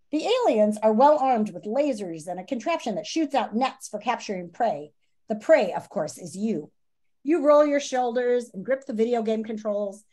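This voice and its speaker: A woman in her fifties reading a children's nonfiction book aloud in a dramatic, teacherly way with emphatic pauses, on a video call from a room at home. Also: fairly high pitch, dark tone. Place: small home room, indoors. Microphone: video call, computer microphone.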